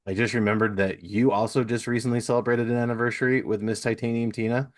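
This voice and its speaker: A man's voice: medium pitch, steady tone, fast talker. A man hosting a casual interview, rambling a little and hesitant, with an apologetic way of talking. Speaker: podcast host, interviewer.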